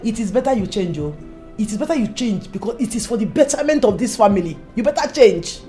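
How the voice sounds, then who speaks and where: A woman's voice: on the high side, lively intonation, fast talker, warm tone, soft background music, close to an everyday voice. A woman with a Nigerian accent playing a stubborn, scolding mother in a comedy skit. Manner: scolding and insistent.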